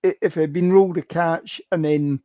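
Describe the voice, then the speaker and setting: A man's voice: on the high side, steady tone, a little fast, dark tone, no echo, muffled recording. A man co-hosting a fan podcast, talking football in a rambling, casual way with many fillers. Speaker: podcast co-host. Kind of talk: casual sports chat.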